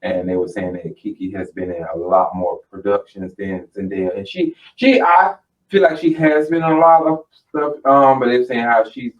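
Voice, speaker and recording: A man hosting a talk show, chatting in an animated, rambling way full of fillers. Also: moderate pace. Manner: animated, rambling, lots of fillers and jumps in pitch. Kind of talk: casual interview chat. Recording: distant mic, muffled lo-fi sound.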